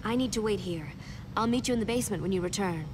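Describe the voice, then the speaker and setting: A woman's voice: mid-range voice, quick pace, background noise, lightly acted. An actress playing a guarded, resolute resistance operative in a video game, serious and secretive as she gives instructions. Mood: serious, determined. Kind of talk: video game cutscene acting.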